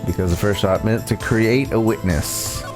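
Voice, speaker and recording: A man voicing a young defense lawyer in a courtroom video game, earnest and nervous but determined, explaining in halting, formal speech. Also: on the low side, close to an everyday voice. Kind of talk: live voiced playthrough of a courtroom video game. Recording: game music under the voice.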